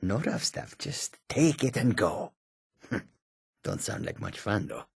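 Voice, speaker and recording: An actor playing a rough, greedy cat-folk bandit in a fantasy video game, with coarse, clipped, grumbling speech. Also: male voice, on the low side, wildly swooping pitch, slow pace, dry recording, studio quality, full character voice.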